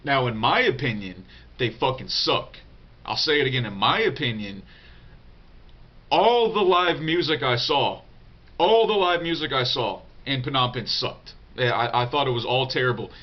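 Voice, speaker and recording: A man's voice: medium pitch, animated, moderate pace, muffled recording. A young man with a congested, nasal voice from a cold, answering viewer questions in a loose, profane vlog with lots of fillers. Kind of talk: casual unscripted vlog talk.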